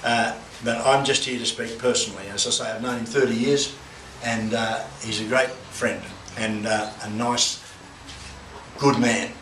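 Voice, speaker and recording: An older man opens an exhibition with a rambling speech full of stumbles and crude asides. He is heard from a distance in an echoey room, with clear noise behind him. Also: mid-range voice, fairly even intonation, fairly bright tone. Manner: halting, full of restarts, bawdy asides. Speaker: guest speaker opening an art exhibition. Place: gallery with a crowd. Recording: echoey room, far from the mic, noisy.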